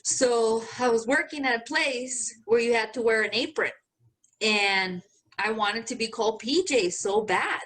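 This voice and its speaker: A young woman with a somewhat high voice hosts a casual podcast. She tells rambling stories with plenty of swearing and keeps calling her friend "bro". Her delivery is relaxed and loose. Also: a little slow, rough recording. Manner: profane, laid-back storytelling, says "bro" a lot. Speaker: podcast co-host. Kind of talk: casual chat.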